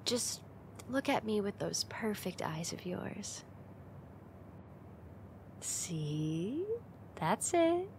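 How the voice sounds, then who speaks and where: Casting: actress as teasing young female roommate, ASMR roleplay, unhurried, mid-pitched, playful and affectionate, close-miked with some background noise. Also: sing-song, creaky, full character voice. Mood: tender, sincere. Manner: teasing, intimate, giggly, slow and coaxing.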